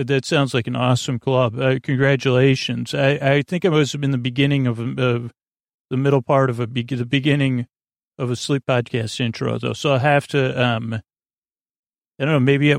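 A man hosting a sleep podcast in a goofy, meandering monologue, halting and trailing off mid-thought. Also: medium pitch, level tone, gravelly, no echo, studio quality. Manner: goofy, whimsical. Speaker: podcast host.

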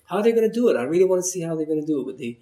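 A man in his twenties hosting a casual interview, encouraging his guest and filling pauses with "like" and "uh". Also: a little high, big pitch swings, warm tone, roomy sound. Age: 20s. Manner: encouraging, many fillers like "like" and "uh". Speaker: podcast host.

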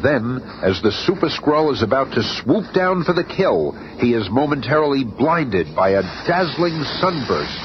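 A man's voice: medium pitch, big pitch swings, unhurried, hardly any pauses. A man giving dramatic scripted narration in an old-time radio show. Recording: dull noisy old radio recording.

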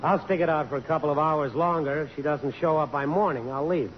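A man's voice: on the high side, a little fast, lightly acted. Wry American photographer on muffled old radio a man acting. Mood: wry, wistful. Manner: wry, sardonic. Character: wry, persistent male photographer, jilted suitor. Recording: dull, noisy old radio broadcast recording.

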